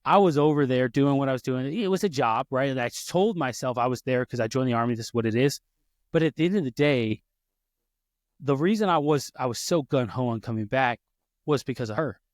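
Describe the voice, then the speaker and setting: A man's voice: medium pitch, brisk, dry recording, clean studio sound. A man in his thirties hosting a podcast, telling personal stories in casual talk, often restarting and correcting himself. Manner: storytelling, frequent restarts and self-corrections. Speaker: podcast host.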